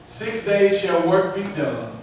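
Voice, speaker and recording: A man reading scripture aloud in measured, declamatory phrases at a church lesson. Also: high voice, very animated, darkish tone. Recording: very echoey room, distant mic, faint noise.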